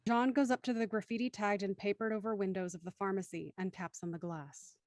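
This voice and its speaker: Female narrator, medium pitch, dry studio-like sound, scripted stage-direction storytelling, audio drama. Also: fairly even intonation, fairly quick, no echo.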